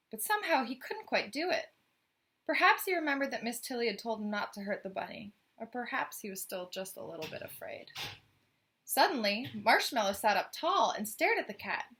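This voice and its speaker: Woman doing storytime for little kids, really bright voice, expressive reading with chatty asides. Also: a little high, husky. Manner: animated, questioning asides to young listeners. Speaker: storyteller reading a picture book aloud. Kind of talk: expressive children's read-aloud with chatty asides.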